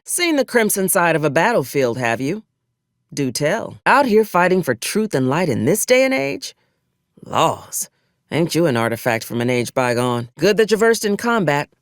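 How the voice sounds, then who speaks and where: A woman's voice: on the low side, no room sound, studio quality. A woman playing an ambitious, ruthless military officer in a video game, wry, with formal old-fashioned wording mixed with folksy frontier slang. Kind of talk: video game character dialogue.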